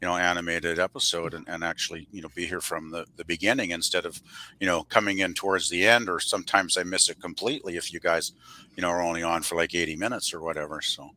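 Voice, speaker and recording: A man on a casual livestream panel who talks in a halting, rambling way, often saying "you know". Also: low voice, steady tone, sharp tone.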